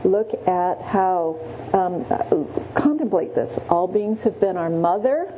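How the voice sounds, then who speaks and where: Slow, mellow-voiced woman meditation teacher. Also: lowish voice, expressive, slight background noise, poor audio. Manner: gentle, many pauses and restarts, frequent "yeah". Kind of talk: guided Buddhist meditation teaching. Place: meditation room.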